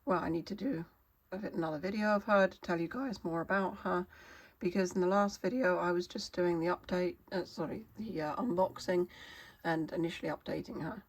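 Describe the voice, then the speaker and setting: A woman with a hoarse, wheezy voice from a cold, explaining a gadget in a casual vlog, halting and apologetic with many fillers. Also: lowish voice, rough recording. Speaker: vlogger.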